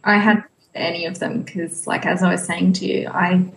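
A woman's voice: on the low side, steady tone, moderate pace, creaky. Woman podcaster in an echoey room. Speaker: podcast co-host. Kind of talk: casual fan discussion. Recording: roomy echo, faint background noise.

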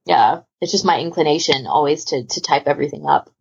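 A woman's voice: low-pitched, fairly quick, gravelly, husky. A woman chatting casually as a podcast co-host, in an emphatic, rambling way. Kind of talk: casual chat. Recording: dull lo-fi voice recording.

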